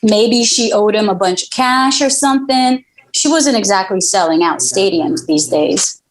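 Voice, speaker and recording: A woman voicing a gruff, dismissive alpha-dog male police detective at a table read of a TV crime drama. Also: medium pitch, animated, lightly acted. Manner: offhand, dismissive, unhurried.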